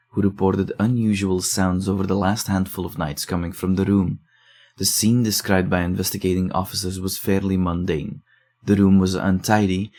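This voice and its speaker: Male actor as sombre investigator narrating horror case files: deep, flat delivery, measured and deadpan. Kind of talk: scripted horror audio drama narration.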